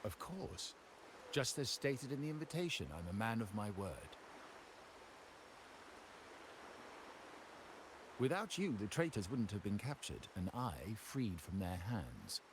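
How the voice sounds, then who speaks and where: An actor playing a formal, self-possessed uncle and heir of a crime family in a video game, with measured, courtly delivery and dramatic pauses. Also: male voice, fairly low pitch, sing-song, background noise. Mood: smooth, ominous. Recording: background music under the voice.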